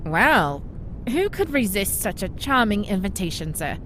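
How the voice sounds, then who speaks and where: Female actor, courteous ship's doctor, wry, animated intonation, mid pitch, sci-fi audio drama. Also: a little slow, a little hiss or hum, rough recording. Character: courteous, wry female starship chief medical officer. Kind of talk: science fiction audio drama acting.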